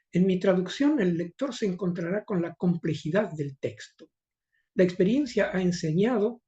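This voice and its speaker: A man's voice: sits high, dark tone, muffled recording. An older man with a Rioplatense Spanish accent, reading a formal academic conference talk with occasional hesitations. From Argentina. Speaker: conference speaker.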